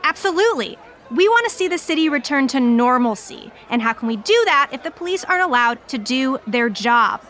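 Self-righteous counter-protester, high-pitched, sharp forceful voice, emphatic, indignant, audio drama. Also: female voice, expressive, fairly quick. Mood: earnest, defensive. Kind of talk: audio drama street interview.

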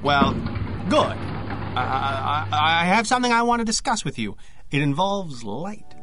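Hesitant, lively young man, acted in audio drama. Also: a little high, measured pace, music in the background. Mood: flustered. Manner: hesitant, stammering. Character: awkward, earnest young male photographer, hesitant romantic.

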